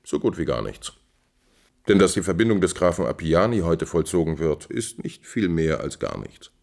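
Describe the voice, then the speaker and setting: A low male voice as a scheming courtier in a 1950s radio drama. He speaks with ironic deference, his lines insinuating and very lively in pitch. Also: normal speed, vocal fry, exaggerated voice. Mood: mocking, dismissive. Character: smooth-tongued, scheming male courtier serving a prince. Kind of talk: 1950s German radio play acting.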